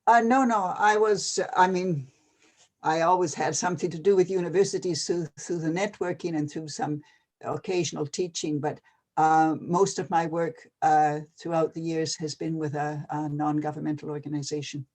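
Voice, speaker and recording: An older person from Canada, talking casually and hesitantly with many pauses and fillers. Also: mid-range voice, steady tone. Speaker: retired NGO advisor. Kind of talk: casual video-call conversation.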